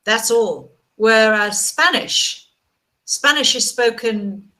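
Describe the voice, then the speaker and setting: A woman's voice: fairly high pitch, takes it slowly, bright, forceful tone. A woman chatting as an online English tutor, encouraging and chatty, often repeating words. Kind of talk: casual video-call chat.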